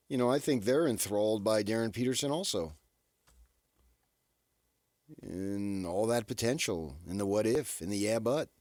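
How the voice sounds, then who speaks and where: A man hosting sports talk radio, casually musing in halting, repeated phrases for emphasis. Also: lowish voice, a little mellow, dry recording, clean studio sound.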